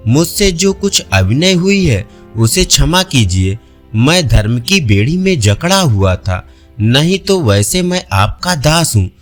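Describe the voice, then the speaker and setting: A man's voice: fairly high pitch, very animated, measured pace, faint music behind. A man voicing a modest, grateful young officer in a storybook, speaking deferentially. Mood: humble. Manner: humble, deferential.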